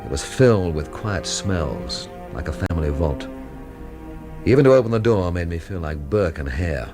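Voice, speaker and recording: A cool, sardonic Englishman in a radio mystery. His voice sits low but swings widely as he delivers dry, scornful wordplay in an English accent, with faint music behind. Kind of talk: radio drama acting with first-person narration.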